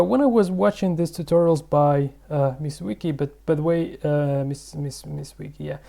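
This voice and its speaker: A man's voice: a little high, vocal fry, dark tone. A man hosting his own channel, rambling and thinking aloud in a casual explanatory vlog, with many fillers. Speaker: channel host.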